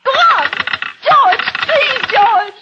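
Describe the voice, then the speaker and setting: Bardzo wysoki głos rozhisteryzowanej żony. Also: female voice, very high-pitched, expressive, takes it slowly, bright, forceful tone, a little hiss or hum, full character voice. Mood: hysterical panic. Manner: melodramatic, pleading, hysterical. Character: frantic, spiteful middle-aged wife fearing for her life. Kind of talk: 1940s-50s radio thriller acting. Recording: dull old radio recording with music.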